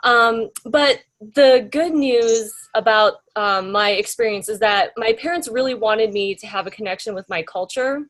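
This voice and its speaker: A woman's voice: on the high side, unhurried, few pauses, a bit bright. A young woman telling her story in an interview, chatty and full of fillers and rhetorical questions. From United States. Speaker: interviewee, graphic designer.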